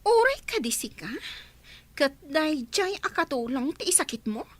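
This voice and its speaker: Dorina, a shrill scornful young woman. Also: sing-song, moderate pace. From Philippines. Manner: scornful, biting retorts. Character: haughty young woman, sharp-tongued and resentful. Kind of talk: radio drama acting.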